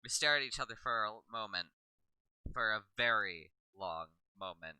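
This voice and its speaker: Bright, clear-voiced man narrating. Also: on the low side, animated, normal speed, dry recording. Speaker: video maker reading a visual novel aloud. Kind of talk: first-person narration read aloud from a visual novel.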